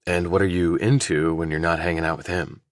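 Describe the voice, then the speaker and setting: A man narrating a thriller audiobook, reading scripted prose and character dialogue in a deep voice. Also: flat delivery, fairly quick, no echo, studio quality.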